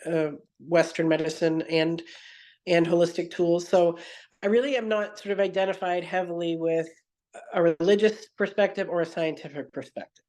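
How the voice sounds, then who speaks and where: A woman's voice: on the low side, steady tone, normal speed, a little mellow. Earnest woman telling her story in an interview. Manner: earnest, digressive, frequent self-corrections. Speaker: podcast guest.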